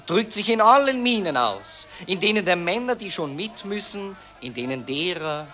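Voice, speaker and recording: A man's voice: high voice, big pitch swings, normal speed, sharp tone, full character voice. An actor playing a pompous, cynical Viennese newspaperman in a 1940s German radio play, declaiming florid patriotic phrases. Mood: solemn declaiming.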